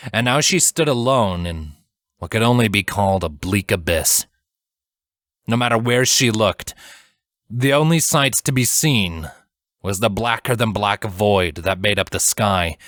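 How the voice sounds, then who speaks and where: Slow, low-voiced male fantasy narrator. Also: lively intonation, a bit bright, no room sound, studio quality. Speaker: audiobook narrator. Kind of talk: dramatic scripted fantasy story narration.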